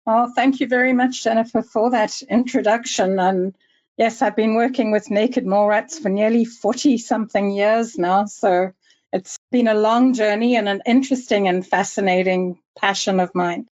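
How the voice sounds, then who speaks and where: A woman in her sixties with a Zimbabwean accent, a researcher answering interview questions in a measured, storytelling way. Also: mid-range voice, fairly even intonation, a little mellow, lo-fi sound. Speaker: guest researcher.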